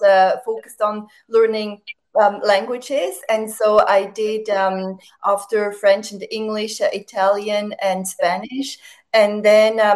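A woman from Switzerland, native German speaker, talking as a guest in a radio interview, with many "uh" and "um" pauses as she searches for words. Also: medium pitch. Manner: warm-spoken, many fillers, searching for words. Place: remote, not in studio.

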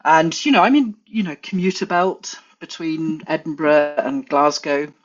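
A woman's voice: low voice, unhurried. Thoughtful English woman, dull lo-fi sound. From England. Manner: thoughtful, many fillers and restarts. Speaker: guest forager. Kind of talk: casual interview chat.

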